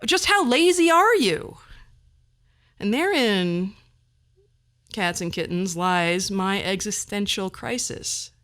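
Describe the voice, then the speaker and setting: A woman's voice: mid-range voice, lively intonation, slow, long pauses, a bit bright. A middle-aged woman hosting a podcast, delivering a personal monologue in a wry, self-deprecating and theatrically emphatic way. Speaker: podcast host.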